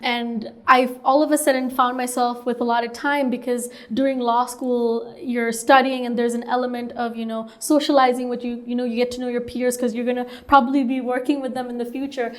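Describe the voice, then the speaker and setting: A woman's voice: a little high, flat delivery, brisk, hardly any pauses. Earnest young woman from Hong Kong. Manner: earnest, storytelling, frequent "like" and "you know". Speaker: startup co-founder. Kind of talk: interview answers.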